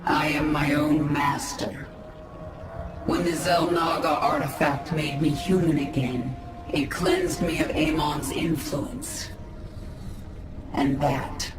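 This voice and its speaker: A woman's voice: low voice, fairly even intonation, takes it slowly. Echoing, imperious alien-hybrid warlord queen. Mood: defiant, proud. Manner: imperious, cool, threatening. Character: commanding, defiant female alien-hybrid warlord. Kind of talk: video game character acting. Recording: echoing, loud music behind.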